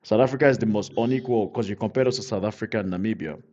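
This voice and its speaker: A man's voice: fairly low pitch, racing, a little mellow. A man from Nigeria hosts a heated live discussion, exasperated and stumbling over repeated words. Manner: exasperated, stammers and repeats himself. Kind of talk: heated livestream debate. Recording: muffled lo-fi livestream audio.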